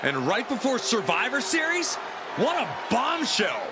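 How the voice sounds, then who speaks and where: Heavy arena noise sits under a high-pitched male co-commentator in a wrestling video game. He is excitable and full of hype. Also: measured pace, full character voice. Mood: excited. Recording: very noisy arena broadcast.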